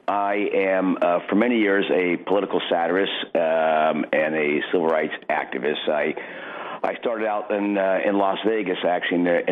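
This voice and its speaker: A middle-aged man talking casually as a radio interview guest, in a halting, rambling way with repeated starts. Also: low-pitched. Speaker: radio guest, political satirist and candidate. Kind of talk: casual interview talk, personal anecdotes. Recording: dull lo-fi radio audio.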